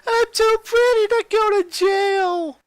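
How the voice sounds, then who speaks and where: Male reader as a teenage girl, vain, panicky, high-pitched, storybook character. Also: steady tone, slow, dry recording. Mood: panicked.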